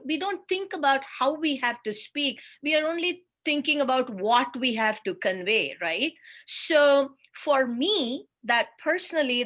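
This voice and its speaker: A woman with an Indian accent giving a slow, deliberate, instructive webinar talk as a speech therapist over a video call. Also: a little high, fairly bright tone. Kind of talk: webinar presentation.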